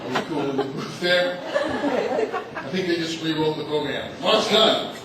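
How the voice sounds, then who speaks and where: A man with a slightly high voice banters loudly from a stage while he introduces the next act. He sounds distant in a very echoey hall, with loud noise and clicks around him. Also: measured pace. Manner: loud, joking, chatty. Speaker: event emcee. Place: theater stage before a live audience.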